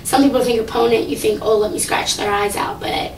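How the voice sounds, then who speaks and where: A woman's voice: fairly even intonation, brisk, recorded from a distance, a little hiss or hum. Rambling young woman, echoey room. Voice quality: low, slightly raspy. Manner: rambling, with many fillers. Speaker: singing contestant. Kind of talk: casual talk to camera.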